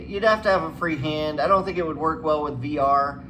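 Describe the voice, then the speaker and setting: Higher-voiced man, rambling over clear noise. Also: brisk, a bit bright. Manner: rambling, thinking aloud. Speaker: flight simulator guide host. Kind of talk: casual hands-on commentary. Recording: clearly noisy room background.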